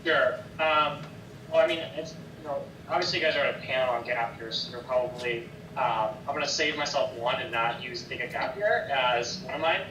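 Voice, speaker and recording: Young American male panelist, mid-pitched, informal storytelling advice, roomy sound with clear background noise. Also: fairly quick, lo-fi sound. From Wisconsin, United States. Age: young adult. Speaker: panelist advising students. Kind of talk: informal advice and personal anecdote. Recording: echoey, distant, noisy background.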